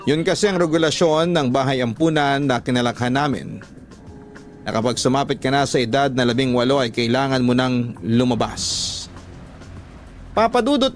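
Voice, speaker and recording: A man with a Filipino accent narrating a scripted story as a radio drama host, in an emotive, deliberate storytelling delivery. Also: mid-range voice. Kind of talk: scripted dramatic narration of letter-sender stories. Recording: voice over background music. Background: music.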